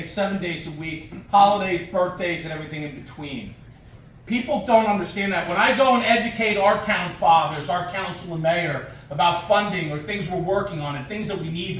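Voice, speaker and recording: A man's fairly high voice rings around a very echoey room, picked up well away from the microphone. He delivers a motivational talk emphatically, hammering short phrases and repeating them for weight. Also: fairly bright tone. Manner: emphatic, punchy short phrases with rhetorical questions and repetition. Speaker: guest speaker, firefighter. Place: echoey indoor room, speaking to an audience. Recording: echoey room, distant mic, faint noise.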